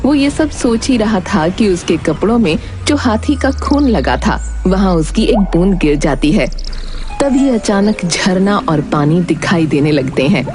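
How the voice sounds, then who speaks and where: A woman giving scripted narration for an animated children's fairy tale. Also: mid-range voice, unhurried, faint music behind.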